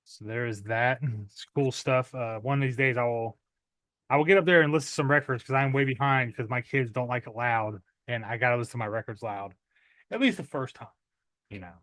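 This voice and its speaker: A man in his thirties casually showing off his metal music purchases at home, rambling with many "uh" fillers and clearing his throat often. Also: mid-range voice, brisk, fairly bright tone, dry recording. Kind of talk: casual unboxing chat.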